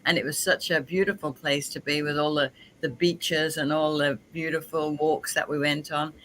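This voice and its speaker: A woman's voice: low-pitched, level tone, normal speed, a bit bright, slight background noise, rough recording. Cheerful Australian woman co-host. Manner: cheerful, effusive. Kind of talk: casual chat.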